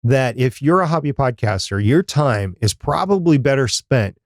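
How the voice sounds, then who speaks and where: A man's voice: medium pitch, animated, moderate pace, no echo, clean studio sound. A man speaking as a podcast co-host in a casual, conversational chat.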